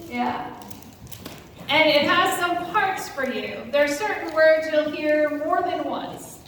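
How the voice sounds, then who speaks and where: Echoey, high-voiced woman speaking from far off. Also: fairly bright tone. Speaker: pastor leading a congregation. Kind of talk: interactive talk introducing a story to an audience. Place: large church room. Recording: echoey hall from far off, faint noise.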